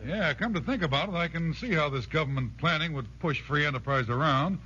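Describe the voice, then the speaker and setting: An old radio broadcast with muffled sound. An everyday American fellow argues doubtfully in a fairly high voice and casual slang. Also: male voice, a little fast, bright, forceful tone, slightly rough. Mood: doubtful, argumentative. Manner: colloquial, argumentative. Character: plain-talking, doubtful average American man. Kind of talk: 1940s radio drama acting.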